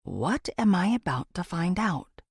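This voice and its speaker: A woman's voice: lowish voice, sing-song, a little slow, creaky, husky, no echo, clean studio sound. A woman reading scripted first-person thriller narration as an audiobook narrator.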